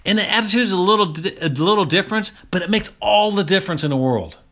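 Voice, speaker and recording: A man's voice: sits high, animated, fast, a bit bright. A man of about sixty giving a motivational health talk, speaking emphatically and repeating key phrases for stress. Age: around 60.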